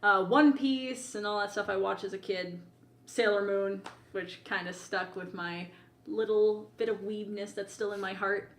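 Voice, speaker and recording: A woman's voice: mid-range voice, fairly even intonation, unhurried, slight background noise. A woman co-hosting a podcast in casual chat, informal and teasing, with loose, sweary phrasing. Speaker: podcast co-host. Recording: roomy, echoing voice recording.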